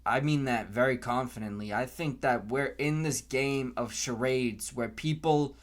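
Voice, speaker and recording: A young American man speaks very slowly at an average pitch for a man. He is earnest and deliberate, building an off-the-cuff opinion monologue piece by piece. He repeats his phrases to drive them home, like a podcast host thinking aloud. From Canton, Massachusetts, US.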